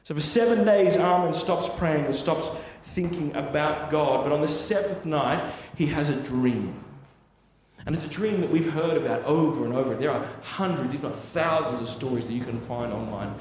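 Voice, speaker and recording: A man's voice: a little high, normal speed, slight background noise. A man preaching a sermon, telling a story in an earnest, conversational way with frequent tag questions. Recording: echoey hall with long reverb.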